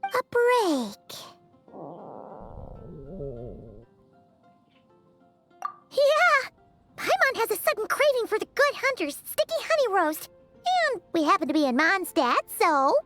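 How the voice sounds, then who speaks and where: A woman voicing a tiny, excitable, childlike fairy companion in a game, squeaky and exclamatory. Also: moderate pace, exaggerated voice. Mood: excited. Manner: refers to self in third person, exclamatory. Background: music.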